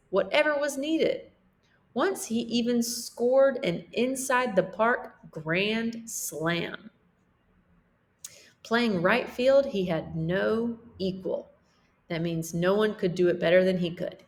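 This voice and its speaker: A woman teacher reading a storybook aloud to pupils, pausing to explain things simply for children. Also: medium pitch. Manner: explains simply, checking in with listeners.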